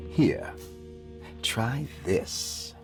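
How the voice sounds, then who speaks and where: Urbane, condescending asylum doctor for a horror game: evasive, measured, very varied intonation, faint music. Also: male voice, mid-range voice, lively intonation, vocal fry, full character voice. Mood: suave, condescending. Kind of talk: cinematic video game voice acting.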